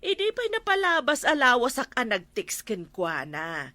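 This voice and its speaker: A woman acting as a village coordinator in a comedy drama, brisk and strict, with an Ilocano accent. Her voice is bright and animated as she gives insistent instructions. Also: high voice, no room sound, full character voice.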